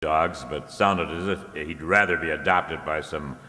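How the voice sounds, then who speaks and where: A man's voice: rumbling low, fairly even intonation, a little slow, bright, forceful tone. A man working as a show announcer, reading scripted closing credits. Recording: echoey hall, audience and clear background noise.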